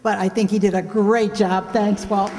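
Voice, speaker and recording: A woman's voice: mid-range voice, little pitch movement, moderate pace, a crowd in the background, background noise. A woman hosting a show, making chatty, folksy, joking announcements to an audience in a casual way. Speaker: radio play director and show host. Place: indoor hall, live stage event. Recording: reverberant hall.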